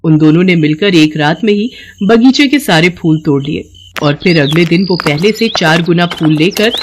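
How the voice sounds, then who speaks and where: A woman's voice: fairly low pitch, expressive, moderate pace, background noise. A woman narrating a scripted children's moral story as the storyteller.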